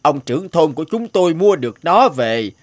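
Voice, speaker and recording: A man voicing a friendly old villager in an animated fairy tale, with an unhurried, drawn-out delivery. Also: a little high. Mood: friendly, explaining. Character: friendly old male villager, slow-talking and obliging.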